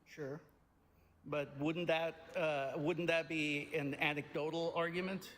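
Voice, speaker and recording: A man's voice: fairly high pitch, fairly even intonation, slow, fairly bright tone, a little hiss or hum. Hesitant man on an echoey line. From India. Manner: defensive, hesitant, many fillers. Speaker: caller. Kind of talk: debate call-in. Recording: long reverb, echoey.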